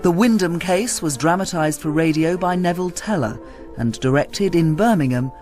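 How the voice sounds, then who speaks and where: A British man with a fairly high voice reads credits as a radio announcer. His delivery is formal and scripted, with music playing behind him. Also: normal speed, a little mellow.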